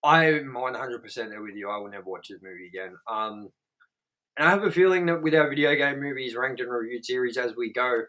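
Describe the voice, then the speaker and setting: A man's voice: medium pitch, fairly quick, pushed and bright, low-quality recording. Rambling man, casual podcast host. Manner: rambling, filler-heavy, frequent "like" and "you know what I mean". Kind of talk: casual chat, swearing freely.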